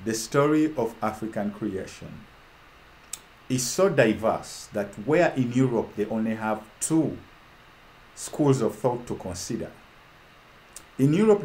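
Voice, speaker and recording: A man's voice: on the low side, a little mellow, low-quality recording. A man from Uganda hosting a casual talk with an online audience. He speaks with fervent, repetitive rhetoric and trails off with ums. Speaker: host.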